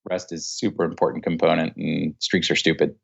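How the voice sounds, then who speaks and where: A man's voice: very deep voice, flat delivery, fairly quick, gravelly, husky, dull audio. A man chatting casually as a guest on a podcast. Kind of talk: casual chat.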